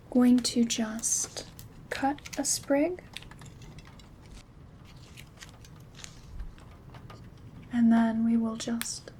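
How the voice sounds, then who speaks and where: A woman playing a gentle, caring witch herbalist in an ASMR roleplay, soft-spoken and often whispering in a soothing, intimate way. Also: on the high side. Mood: gentle, reassuring. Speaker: ASMR performer. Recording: soft rain and handling noise. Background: rain sounds, craft rustling.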